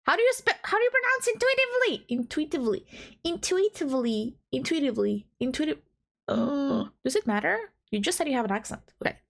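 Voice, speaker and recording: A woman's voice: high voice, big pitch swings, fairly quick, creaky, a bit bright. Giggly frazzled young woman, slight Albanian accent. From Balkans, Southeastern Europe. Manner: frazzled, scolds herself, giggly, restarts lines. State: blocked nose. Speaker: VTuber. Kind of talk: casual self-talk between recording takes. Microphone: home recording mic.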